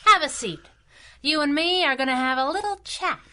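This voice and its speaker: Radio serial heroine: brash, slangy masked sidekick, high and bright, taunting wisecracks. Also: female voice, animated, moderate pace, full character voice. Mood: mocking. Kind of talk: radio drama acting, pulp adventure serial.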